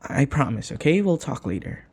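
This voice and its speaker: A man acting in an intimate audio roleplay as a devoted young human servant, speaking in a soft, halting, tender and pleading way. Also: medium pitch, very animated, vocal fry, husky, heavily acted. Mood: gentle, affectionate.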